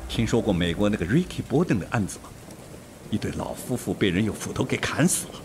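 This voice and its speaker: A man's voice: fairly low pitch, sing-song, fast, slight background noise. A man acting an insistent, suspicious police detective in a Mandarin radio drama. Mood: urgent, suspicious.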